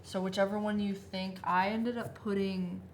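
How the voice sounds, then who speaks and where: A woman's voice: medium pitch, moderate pace, slight background noise. A woman patiently walking someone through game rules in a casual chat. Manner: patient, steady explaining. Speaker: co-host explaining game rules.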